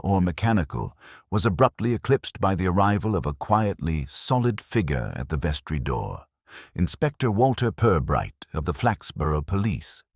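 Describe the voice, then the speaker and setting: A man narrating a scripted British village mystery in a dry, measured, literary way. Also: deep voice, husky, no room sound.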